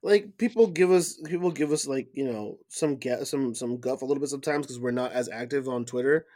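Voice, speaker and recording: A man's voice: medium pitch, a little fast, dark tone. A man co-hosting a podcast, talking casually in an earnest way, often hesitating and repeating words. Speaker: podcast co-host. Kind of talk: casual chat.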